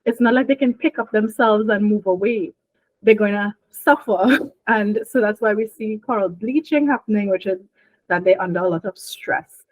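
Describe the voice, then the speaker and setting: A woman's voice: medium pitch, moderate pace, dry recording. A young woman from Trinidad and Tobago, a marine biologist talking casually and laughing often as she explains things in an interview. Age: young adult. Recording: dry, phone-like line.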